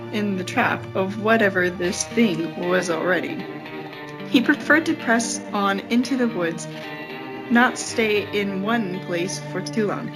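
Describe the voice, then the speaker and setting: Female amateur reader, medium pitch, informal and stumbling delivery, music clearly underneath. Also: rough recording. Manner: stumbles and repeats phrases while reading. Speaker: podcast co-host and reader. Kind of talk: amateur read-aloud of fan fiction.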